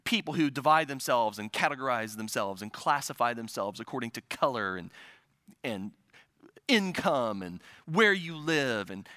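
A man's voice: mid-range voice, expressive, moderate pace, bright, forceful tone, no echo, clean studio sound. A man from the Midwest preaching a sermon, building to urgent, emphatic repetition. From American Midwest. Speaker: pastor.

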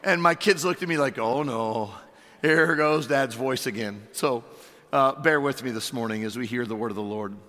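A middle-aged pastor, hoarse and losing his voice, preaching a sermon in a church with earnest rhetorical questions and the odd filler. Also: medium pitch, moderate pace, sharp tone. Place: church sanctuary. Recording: long hall reverb.

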